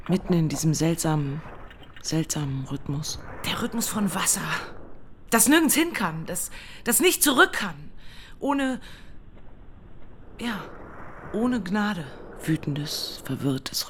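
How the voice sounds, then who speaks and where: Eine Schauspielerin spielt eine erschütterte Zeugin einer Flut, die in einem poetischen, stockenden Hörspielmonolog spricht. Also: female voice, on the low side, lively intonation, slow pace, long pauses, background noise. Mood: haunted. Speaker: radio drama actor. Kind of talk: poetic, halting dramatic monologue.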